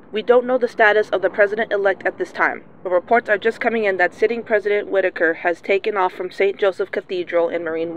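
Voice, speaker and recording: A woman playing a radio newscaster, reading breaking news in a steady, formal manner. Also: medium pitch, slight background noise, natural voice. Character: composed female radio newscaster. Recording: dull lo-fi sound.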